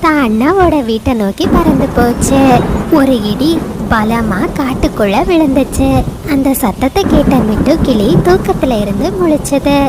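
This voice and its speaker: Woman narrator, children's cartoon story, very high voice, even and clear, loud noise behind. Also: moderate pace.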